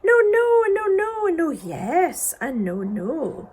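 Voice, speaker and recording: A woman's voice: extremely high pitch, wildly swooping pitch, slow, a little mellow, voice pushed up, full character voice. A woman voicing a small, insistent, chirpy singing bird in a children's poem. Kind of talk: children's poem read aloud.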